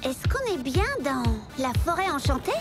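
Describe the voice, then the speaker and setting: A woman's voice: high-pitched, normal speed, natural voice. A woman voicing a kind, hopeful young animal girl in a French children's cartoon dub. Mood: puzzled. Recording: background music under the voice.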